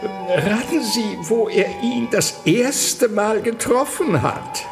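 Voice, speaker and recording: High-pitched, flustered archbishop. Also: male voice, lively intonation, full character voice. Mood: flustered, obsequious. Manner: halting, stammering, obsequious. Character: unctuous, flustered 19th-century German archbishop hosting a nobleman. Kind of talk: German radio play acting. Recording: roomy echo, music behind.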